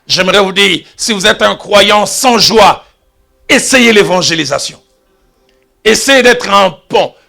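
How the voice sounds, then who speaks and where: Bright, high-pitched preaching man. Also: expressive, measured pace, creaky, soft background music. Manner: storytelling preacher, swings from hushed asides to loud exclamations. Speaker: pastor. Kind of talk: sermon. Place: church.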